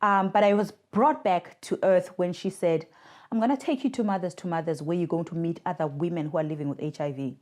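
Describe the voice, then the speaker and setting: A woman's voice: on the low side, brisk, darkish tone. A South African woman in her thirties, a podcast guest telling her own story in an earnest, reflective way over a remote connection. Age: 30s. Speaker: guest, communications worker for a mothers' charity. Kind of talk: podcast interview, personal story. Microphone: remote recording.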